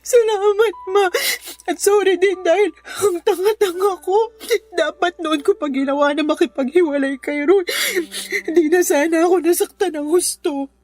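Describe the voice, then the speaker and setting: Very high, plaintive young Filipina. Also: female voice, steady tone, normal speed, darkish tone. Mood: grateful, regretful. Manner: respectful, pleading, plaintive. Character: wronged, weary, submissive young mother and daughter. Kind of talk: radio drama acting.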